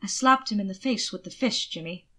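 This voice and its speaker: An indignant young gentleman from a period storybook, played by a woman. The voice is bright and expressive, proper in phrasing yet easily tipped into giggles. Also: medium pitch, brisk. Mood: sheepish disbelief.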